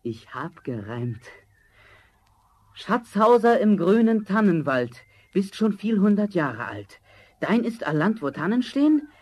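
A poor young charcoal burner in an old fairy-tale audio drama. He sounds naive and eager, declaiming in a fairly high voice with lively swings of pitch. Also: unhurried, heavily acted. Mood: hopeful, reciting. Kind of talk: vintage German fairy-tale radio play acting.

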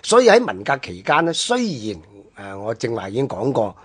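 A male radio commentator with a Hong Kong Cantonese accent talks through history without a script. His voice is slightly rough and husky at an average pitch. He phrases haltingly and discursively, stretching the particles that close his phrases. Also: wildly swooping pitch. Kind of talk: unscripted explanatory history talk.

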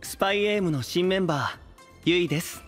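A male actor playing a serene, lofty young idol in an audio drama, gentle and composed. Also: high-pitched, brisk, faint music behind, close to an everyday voice. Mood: graceful, welcoming. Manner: gentle, composed, refers to himself by name.

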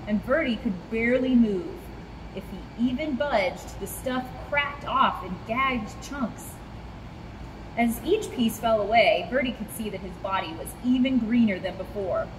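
A young woman reads a picture book to children in an expressive, fairly high voice. She is recorded a short way from the camera, with clear ambient noise around her. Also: big-room sound. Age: young adult. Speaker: zoo staff storyteller. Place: in front of a rock wall at a zoo.

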